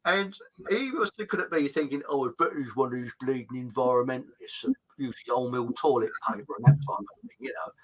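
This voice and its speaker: A man's voice: mid-range voice, a little fast, breathy edge, poor audio. A middle-aged man, hosting a casual chat and telling rambling anecdotes with frequent laughter and mild swearing. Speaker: podcast host.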